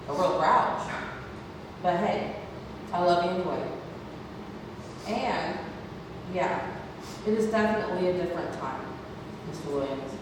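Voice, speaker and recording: A woman playing a caring, teasing care-home nurse in a play read-through. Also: fairly low pitch. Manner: teasing, reassuring. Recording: echoey hall, distant mic, heavy noise.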